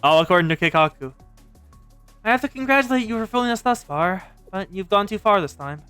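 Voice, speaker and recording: A woman's voice: medium pitch, expressive, a little fast, fairly bright tone, smooth, faint music behind, close to an everyday voice. Woman acting a stern student council girl. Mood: scolding. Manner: reproachful, formal.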